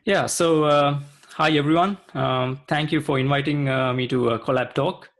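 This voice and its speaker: A man's voice: on the high side, steady tone, moderate pace. A man from Sri Lanka speaking as a guest in a casual video-call interview, hesitant and full of fillers. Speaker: guest, IT consultant.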